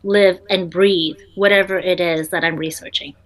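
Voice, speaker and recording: A woman's voice: lowish voice, fairly even intonation, normal speed. Chatty woman entrepreneur with many ums. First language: Vietnamese. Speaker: interview guest, entrepreneur. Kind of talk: casual interview answers.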